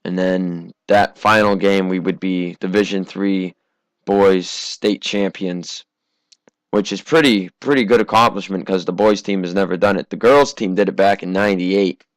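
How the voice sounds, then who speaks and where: A teenage American man from Massachusetts giving casual, conversational amateur racing commentary, his voice slightly hoarse from a cough. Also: deep voice, fairly even intonation, moderate pace, no room sound, poor audio. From Massachusetts, United States. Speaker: race commentator.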